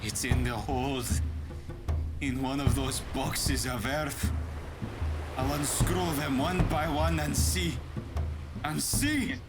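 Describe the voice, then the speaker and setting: A man plays a haunted, superstitious sailor in a radio melodrama, speaking slow, halting broken English with great intensity. Loud music runs under his middle-pitched voice. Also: steady tone, close to an everyday voice. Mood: haunted, obsessed. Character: superstitious, haunted foreign sailor, a ship's mate going mad. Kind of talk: melodramatic radio drama acting.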